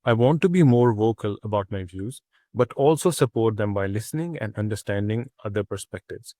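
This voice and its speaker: A young man from Pakistan, a student, reading a prepared reflection in a steady, earnest way with small restarts. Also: on the low side, a little fast, dry recording, clean studio sound.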